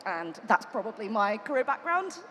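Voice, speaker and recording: A woman's voice: mid-range voice, very animated, moderate pace, a bit bright. A woman on a conference panel, talking about her career in a reflective, loose way with many fillers. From United Kingdom. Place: conference hall. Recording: reverberant hall, faint noise.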